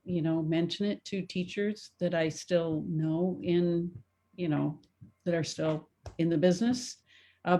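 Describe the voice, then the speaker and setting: A woman's voice: lowish voice, vocal fry, dark tone. An older woman, a playwright, talking casually in a discussion, plainspoken and appreciative. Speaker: playwright, retired English teacher.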